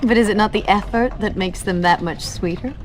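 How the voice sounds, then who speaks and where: An actress playing a curious, spirited young noblewoman in a video game cutscene, poised and gently teasing in formal phrasing. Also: mid-range voice, brisk, close to an everyday voice. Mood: playful, teasing.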